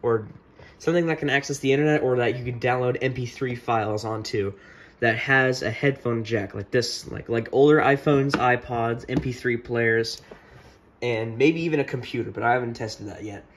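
A man giving a casual hands-on tech tutorial in a hesitant, halting way, often repeating himself, recorded indoors at a desk. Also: medium pitch. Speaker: tutorial maker. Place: indoor room at a wooden desk. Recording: noticeable room background noise.

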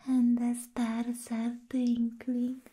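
A woman's voice: on the high side, monotone, low-quality recording. Woman acting a giddy, whispering madcap villainess. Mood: giddy, dreamy. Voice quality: breathy, soft whisper. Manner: slow, dreamy, teasing. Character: giddy, mischievous madcap villainess, playfully doting. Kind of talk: ASMR roleplay. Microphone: close microphone.

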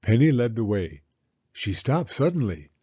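A man reading a children's storybook aloud in a deliberate, simply phrased narration. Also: mid-range voice, big pitch swings, mellow, husky, no echo, dull audio. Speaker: storybook reader.

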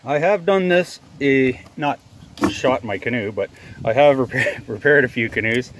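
Folksy man talking over loud work noise. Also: medium pitch, normal speed. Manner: folksy, wryly joking, muttering asides to himself. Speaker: host of a do-it-yourself repair vlog. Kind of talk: casual hands-on demonstration, chatting to viewers while working.

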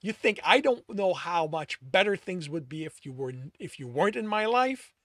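A man voicing a stubborn, heartbroken young man who yells bitter accusations. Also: high-pitched, animated, moderate pace, no room sound, studio quality, pitched above the performer's own voice. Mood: angry hurt.

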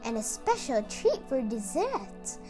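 Casting: sensible picture-book babysitter, read by a woman, firm yet reassuring, measured and expressive. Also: on the high side, music in the background, voice pushed down.

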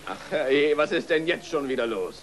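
Grumbling farmer dad, very animated. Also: male voice, a little high, moderate pace, noisy background. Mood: grumbling, gloomy. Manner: grumbling, weary. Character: grumbling, exasperated farmer father in a children's story. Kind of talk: German children's radio play acting.

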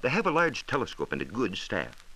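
An American man explaining science in a measured, precise, didactic way, in a scripted 1940s radio style. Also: medium pitch, wildly swooping pitch, pushed and bright, low-quality recording. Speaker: science reporter explaining astronomy. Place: radio studio. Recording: hissy 1940s radio transcription disc.

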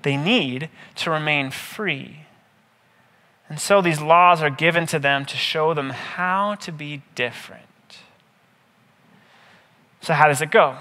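A man in his thirties, a pastor giving a measured, scripted sermon with deliberate phrasing. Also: a little high, sharp tone. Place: indoor stage, seated at a table. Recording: reverberant hall.

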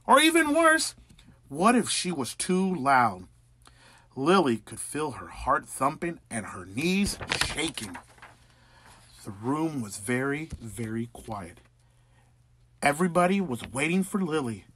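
Animated, high-pitched man reading a storybook. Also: slight background noise. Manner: theatrical, exaggerated, deliberate delivery. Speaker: children's story narrator. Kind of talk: animated scripted picture-book reading.

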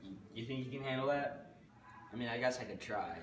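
A young man acts out a self-absorbed high school guy in an amateur comedy skit. He talks casually and deadpan, at an ordinary pitch. The sound is echoey and distant, with loud room noise. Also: a little fast, close to an everyday voice. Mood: bantering. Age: young adult. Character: self-absorbed high school student torn between bickering inner voices. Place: school stairwell, hallway and gym. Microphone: camera mic at a distance.

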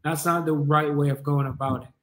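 Higher-pitched Jamaican man teaching casually. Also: steady tone, brisk, fairly bright tone. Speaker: online business coach and livestream host. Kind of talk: casual live tutorial. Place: kitchen or living room at home, indoors.

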